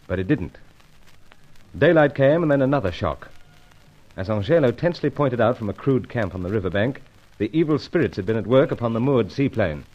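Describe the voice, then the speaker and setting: An actor playing a brisk, unflappable British secret agent who narrates his own adventure, with a clipped, stiff-upper-lip delivery, in an old radio serial. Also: lowish voice. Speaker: narrator and lead. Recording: dull lo-fi old radio recording.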